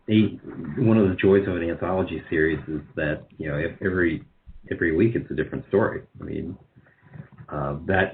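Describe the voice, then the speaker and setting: Low-voiced man in a muffled interview. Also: slight background noise. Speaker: guest, radio drama producer. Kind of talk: conversational interview answers.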